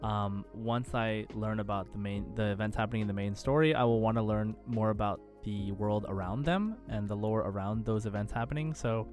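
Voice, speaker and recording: A man's voice: fairly low pitch, normal speed, hardly any pauses, darkish tone, soft background music. A man co-hosting a casual gaming podcast in a conversational way. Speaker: podcast co-host.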